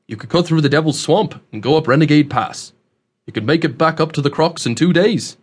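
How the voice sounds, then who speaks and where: A man's voice: a little high, a little fast, breathy edge, pitched above the performer's own voice. A man narrating an audiobook, voicing a scruffy, blunt old hermit who talks in a matter-of-fact rustic dialect. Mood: warning.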